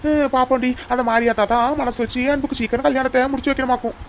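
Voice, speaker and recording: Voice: high voice, fairly even intonation, slight background noise. A performer voicing a brave, talkative middle-aged village woman. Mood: chatty. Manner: chatty, scolding, quick.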